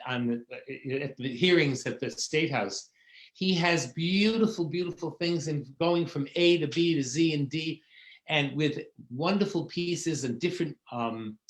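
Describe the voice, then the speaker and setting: A man's voice: on the high side, echoey room. A man hosting a video call, introducing a guest in a rambling, enthusiastic way. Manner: rambling, enthusiastic, warm praise. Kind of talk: casual spoken introduction. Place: living room.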